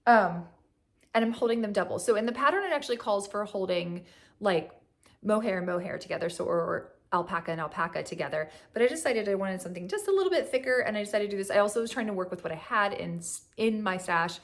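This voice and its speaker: Chatty woman with a slightly hoarse voice. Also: mid-range voice, lively intonation, fast talker. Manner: chatty, rambling, frequent fillers and laughs. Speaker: knitting vlogger. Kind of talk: casual chat. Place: at home on a couch.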